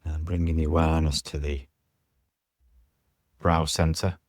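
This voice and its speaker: Male yoga teacher for guided relaxation: very low pitch, soothing, measured delivery with pauses. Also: little pitch movement, no room sound, studio quality. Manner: soothing, unhurried, pausing between short phrases. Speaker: yoga nidra teacher guiding a meditation. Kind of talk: guided meditation instruction.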